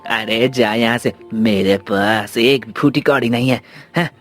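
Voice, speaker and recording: A man's voice: medium pitch, unhurried, fairly bright tone, lightly acted. Man as a scornful, haughty village headman. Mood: dismissive. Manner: scornful, domineering. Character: haughty, callous village headman in a moral cartoon. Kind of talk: scripted cartoon dialogue. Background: background music.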